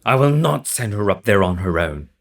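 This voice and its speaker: A man's voice: fairly low pitch, animated, normal speed, vocal fry, noisy background. A man acting a haughty, protective young nobleman in an audio drama, making imperious, impatient demands. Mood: stern.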